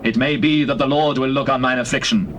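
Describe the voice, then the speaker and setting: A man's voice: mid-range voice, fairly even intonation, fairly quick, a bit bright, lo-fi sound, close to an everyday voice. An actor playing a pious, sorrowful but resolute king in a scripture drama, speaking in solemn declamation on a noisy tape. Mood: anguished, resigned. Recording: noisy old tape with birdsong.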